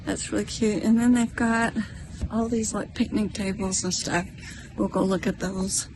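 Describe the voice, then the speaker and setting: A woman's voice: medium pitch, fairly even intonation, moderate pace, noisy background. A middle-aged American woman talking casually and reflectively to camera as a vlogger, in a musing, slightly self-conscious conversational way. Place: outdoors in a park, partly in a parking lot.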